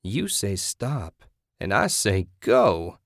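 A man voicing a menacing, sanctimonious, depraved woman in an audiobook, with a raspy, taunting delivery. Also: mid-range voice, wildly swooping pitch, dry recording, studio quality, voice pushed up, heavily acted. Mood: leering. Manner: taunting, sinister, drawn-out threats. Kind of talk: dramatic audiobook character voice.

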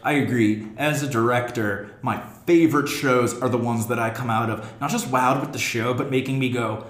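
A man's voice: mid-range voice, steady tone, normal speed. A man hosting a casual theater podcast, talking like a director and often acting out imagined remarks. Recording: roomy, echoey room sound.

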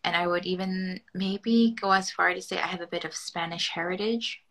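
Low, gravelly-voiced woman podcast co-host. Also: fairly even intonation, normal speed, fairly bright tone. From Argentina. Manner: thoughtful, reflective, hesitant. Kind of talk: casual chat.

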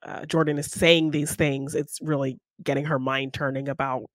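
A woman's voice: very deep voice, level tone, moderate pace, no room sound. Woman chatting casually in a dry, close sound. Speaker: podcast co-host. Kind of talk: casual film discussion.

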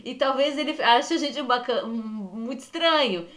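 A woman's voice: high-pitched, animated, normal speed, a bit bright. A woman co-hosting a dance podcast, thinking aloud at length, often breaking off and correcting herself as she reasons. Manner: rambling, self-correcting, reflective. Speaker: podcast co-host.

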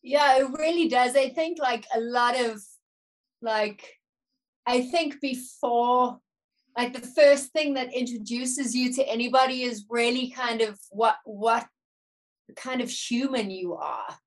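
South African woman, thirties, Americanized accent, fairly high voice, easygoing musician guest. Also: slow pace. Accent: South African, partly Americanized. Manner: easygoing, thoughtful, uses "like" often. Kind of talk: casual interview chat.

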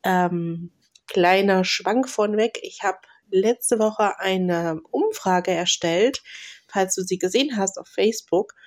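A woman hosting her own podcast while she has a cold, talking loosely with many fillers, restarts and throat-clearing. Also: mid-range voice, slow, a little mellow. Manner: clears throat now and then. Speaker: podcast host and mentor. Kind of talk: solo podcast talk.

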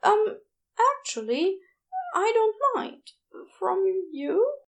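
A woman voicing a shy, gentle, easily flustered man in an audio story, hesitant, polite and apologetic. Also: very high-pitched, sing-song, very slow, gravelly, voice pushed up, exaggerated voice. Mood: flustered, earnest. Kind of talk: audiobook character dialogue.

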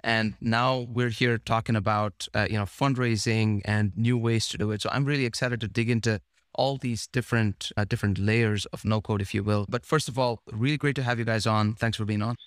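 A man's voice: on the low side, fairly even intonation, fairly quick, dry recording, clean studio sound. A man hosting a podcast, chatting casually and rambling with many restarts. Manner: rambling, restarts phrases, fillers. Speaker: podcast host. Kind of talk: casual interview talk.

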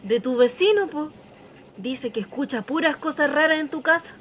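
High-voiced, nosy Chilean gossip. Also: female voice, lively intonation, normal speed, a bit bright, slight background noise. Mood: prying. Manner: prying, chatty. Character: nosy, gossiping small-town female coworker. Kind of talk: naturalistic radio drama acting.

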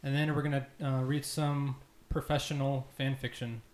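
A man's voice: medium pitch, flat delivery, moderate pace. A man hosting a casual group podcast with playful, mock-grandiose banter. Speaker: podcast host. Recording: echoey room, faint noise.

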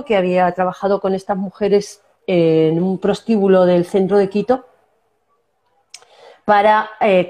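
A woman's voice: medium pitch, darkish tone. A woman from La Mancha with a Spanish accent from that region, an actress telling stories in a casual interview, often restarting and using fillers. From Castilla-La Mancha, Spain. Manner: storytelling, many restarts and fillers. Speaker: actress guest.

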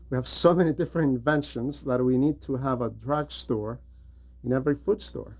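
A man's voice: medium pitch, creaky, a little mellow, dull audio. A man delivering an explanatory religious homily in a didactic way, repeating phrases for emphasis. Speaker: preacher and religious teacher.

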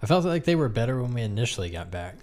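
A man's voice: medium pitch, big pitch swings, very fast, a little mellow. A man hosting a casual podcast, chatting in a joking, sarcastic way with his co-host. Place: room with another person.

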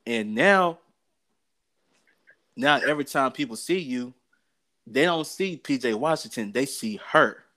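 An American man chats as a sports show co-host, with a mid-pitched voice. He is opinionated and emphatic, telling things in loose everyday speech and repeating his phrases to drive a point home. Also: expressive, unhurried, pauses often, bright, forceful tone. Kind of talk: casual opinionated sports and gossip chat.

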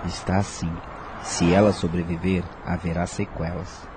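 A man's voice: low voice, little pitch movement, unhurried, a little mellow. A man playing a worried, guilt-ridden husband and father, earnest and troubled, in a radio soap opera. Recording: noisy audio with ambient sound.

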